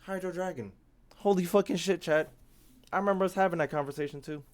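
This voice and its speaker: A man's voice: high voice, fast talker. A young man giving casual, rambling livestream gaming commentary, slangy and full of swearing. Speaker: game streamer.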